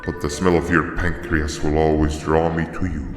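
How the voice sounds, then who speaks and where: A man's voice: extremely low pitch, level tone, normal speed. A man playing a menacing, pompous, organ-eating monster in a video game, formal and mocking. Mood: sinister. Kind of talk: video game villain acting. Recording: echoey, music behind.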